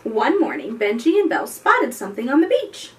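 A woman in her thirties hosting a children's storytime, presenting and reading aloud expressively and deliberately for small children. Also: on the high side, wildly swooping pitch. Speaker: children's librarian, storytime host. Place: indoor room before a chalkboard wall. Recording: distant mic, faint background noise.

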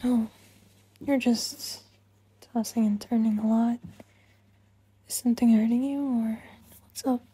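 A woman doing intimate ASMR roleplay as a caring, affectionate girlfriend, speaking in a soft, breathy, soothing murmur that often slips into a whisper. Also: on the high side, steady tone, unhurried, pauses often, no echo. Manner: soothing, hushed, tender, often slipping into whispers. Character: caring, affectionate girlfriend comforting her partner.

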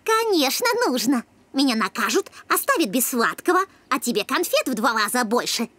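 Little girl dog, children's cartoon, high, animated, bossy, suspicious, sarcastic. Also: normal speed. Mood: sarcastic, indignant. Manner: snappy, sarcastic.

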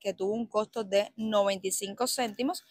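A woman from Cuba with a Cuban Spanish accent, chatting excitedly to her viewers while walking a supermarket aisle. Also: mid-range voice, brisk, dry recording, studio quality. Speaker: vlogger. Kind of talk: casual walk-through vlog.

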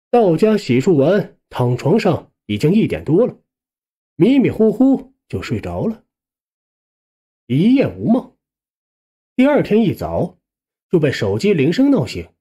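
A man narrating a scripted first-person suspense audiobook in a conversational, expressive way. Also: a little high, breathy edge.

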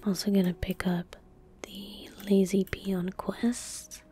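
A young woman murmurs ASMR game commentary in a soft whisper, right up at the microphone. Her delivery is slow and flat, with a bright, crisp edge to the breathy sound. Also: mid-range voice, a little hiss or hum. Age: young adult. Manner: relaxed, unhurried murmuring. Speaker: ASMR gaming streamer. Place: small indoor room at a computer desk. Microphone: large microphone close to the mouth. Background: keyboard typing and mouse clicks.

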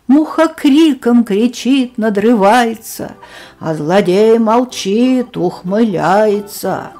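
A woman reading a children's poem aloud in a rhythmic, lively sing-song manner. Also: on the high side. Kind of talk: expressive children's verse reading.

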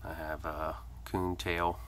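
A man's voice: low-pitched, little pitch movement, slow pace, fairly bright tone, a little hiss or hum. A man giving a casual, matter-of-fact tour of his home fishing workshop, filmed on a phone he holds himself. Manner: plain, matter-of-fact listing with hesitant fillers. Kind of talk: casual walkthrough narration. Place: cluttered home workshop indoors. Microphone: handheld phone camera held by the speaker.

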